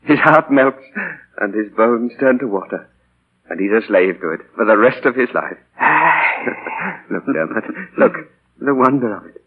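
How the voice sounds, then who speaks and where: A man's voice: mid-range voice, expressive, unhurried, husky. An earnest, dramatic doting father. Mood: rapt, tender. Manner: earnest, theatrical, hesitant when moved. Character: doting, indulgent father and novelist, earnest and idealistic. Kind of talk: 1940s radio drama acting with diary narration. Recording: muffled old 1940 radio broadcast, music behind.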